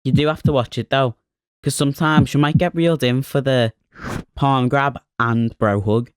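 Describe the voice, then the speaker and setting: A man in his twenties from Liverpool with a Liverpool accent, narrating a short animated film in a chatty, wry, self-mocking way. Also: medium pitch, moderate pace, clean tone, no room sound. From Liverpool, England. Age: 20s.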